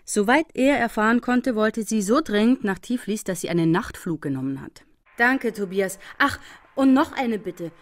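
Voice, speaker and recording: A woman's voice: mid-range voice, expressive, moderate pace, dry recording, lightly acted. A woman playing an intimate, earnest character in a German crime radio play. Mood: earnest, uneasy.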